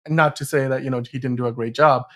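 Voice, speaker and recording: A man's voice: mid-range voice, steady tone, fast, a bit bright. A man hosting a casual film discussion podcast, talking in a rambling, emphatic way and often stumbling over his words. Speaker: podcast host.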